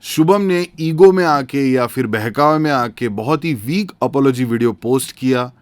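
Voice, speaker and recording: A man's voice: medium pitch, moderate pace, clear, resonant tone, dry recording. Earnest Indian man delivering a monologue. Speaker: stand-up comedian and commentator. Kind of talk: scripted opinion monologue to camera, mixing Hindi and English.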